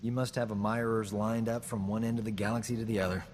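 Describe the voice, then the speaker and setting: An actor playing a boastful, smooth-talking combat medic in a video game, joking and flattering himself. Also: male voice, lowish voice, quick pace, in a large hall, slight background noise, close to an everyday voice. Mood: cocky. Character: cocky boastful man, a frontier combat medic. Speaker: companion character in a video game. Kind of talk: video game character dialogue.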